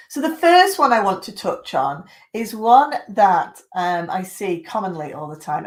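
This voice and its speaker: A middle-aged woman with a croaky voice from a chest infection, giving social media tips in a chatty live stream. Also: fairly low pitch. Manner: chatty, greets viewers by name.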